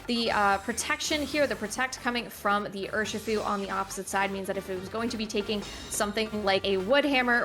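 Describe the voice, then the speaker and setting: A woman calls a competitive match at a rapid clip, breaking down each move in a brisk, analytical way. Steady music plays clearly underneath her voice. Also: mid-range voice. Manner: brisk, analytical, self-correcting. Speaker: esports commentator. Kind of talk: play-by-play game commentary. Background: background music.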